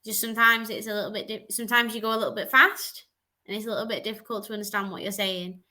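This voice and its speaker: Upbeat woman tutor giving chatty, encouraging feedback over a video call, bright voice. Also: medium pitch, steady tone, fast. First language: English. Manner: encouraging, chatty, lots of "like" and "just". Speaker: English tutor.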